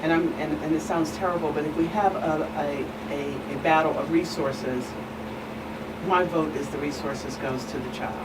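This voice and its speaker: A woman speaking as an expert guest on a panel, deliberate and emphatic, repeating phrases for stress. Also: deep voice, fairly even intonation. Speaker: guest expert, attorney and pastor. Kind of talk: panel discussion, earnest explanation. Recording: distant mic, clearly noisy room hum.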